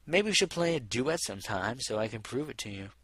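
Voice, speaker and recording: A man voicing a boastful, smooth-talking male pony, a self-important musician and inventor, in a storybook reading. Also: medium pitch, lively intonation, brisk, a bit bright, no echo. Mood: proud, coaxing.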